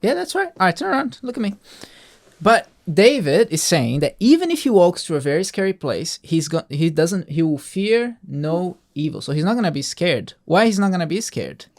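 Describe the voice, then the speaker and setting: A man's voice: high-pitched, sing-song, normal speed. A man talking casually with a young child about a Bible passage, explaining simply and often asking check-in questions. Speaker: host, parent teaching a child a Bible passage.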